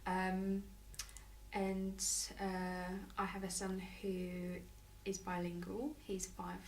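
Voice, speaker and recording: A woman's voice: medium pitch, monotone, slow. A Polish woman, a parent being interviewed, answering casually with many hesitations and restarts. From Poland. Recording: echoey room, mic at a distance, faint noise.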